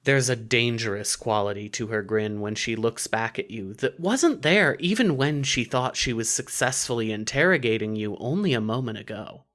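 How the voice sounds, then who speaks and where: A narrator reading scripted fiction in an expressive, wry storytelling manner. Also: mid-range voice, normal speed, hardly any pauses.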